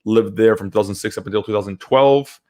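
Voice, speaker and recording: A fairly low-pitched man in his twenties, from Syria and Canada, talks casually in a live discussion. He rambles and feels his way through points, often restarting and repeating words. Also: fast, darkish tone. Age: 20s. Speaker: podcast co-host.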